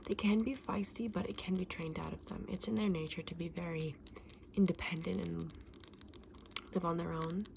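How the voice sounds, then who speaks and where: A woman playing a friendly, knowledgeable fantasy egg saleswoman in an ASMR roleplay, speaking softly and often in a breathy whisper, gently explaining things to a customer. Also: on the low side, fairly even intonation, normal speed, noisy background. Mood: informative, fond.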